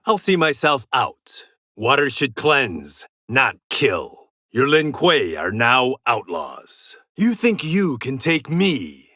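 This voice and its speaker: Confident sardonic game swordsman, bright forceful voice, pitch jumps around, super dry sound. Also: big pitch swings, takes it slowly, hardly any pauses, no room sound, full character voice. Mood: firm, confident. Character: male swordsman warrior, former gangster, calm and proud. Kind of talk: video game fight dialogue, short taunting lines.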